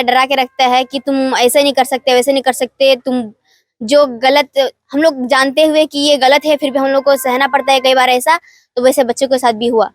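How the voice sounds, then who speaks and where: A woman's voice: sits high, no echo. A young woman gives an informal spoken reflection as a film festival participant.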